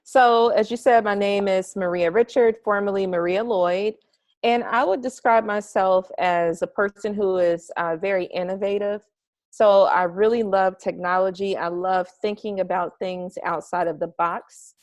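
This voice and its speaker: An upbeat young woman from the United States answers interview questions at length, trailing into fillers as she thinks. Her voice sits in a middle pitch. The sound is dry and close, without room echo. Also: fairly even intonation, normal speed, darkish tone. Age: young adult. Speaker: interview guest, marketing strategist. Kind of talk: casual interview over a call. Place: home garage.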